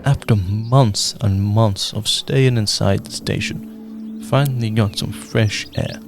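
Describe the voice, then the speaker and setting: A young man narrating a radio drama in a measured storytelling way. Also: lowish voice, gravelly. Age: young adult. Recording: narration over loud music.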